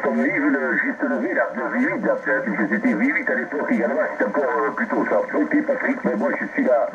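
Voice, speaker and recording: A man's voice: fairly high pitch, brisk, vocal fry. Chatty man over a noisy radio link. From southwest France. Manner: chatty, animated, spells with phonetic alphabet. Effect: radio transmission. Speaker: radio operator. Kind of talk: CB radio contact. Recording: dull lo-fi radio with loud noise.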